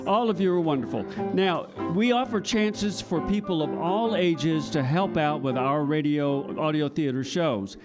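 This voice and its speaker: A man's voice: fairly high pitch, measured pace, hardly any pauses, over music. A man hosting a children's audio theater show, announcing to a live family audience.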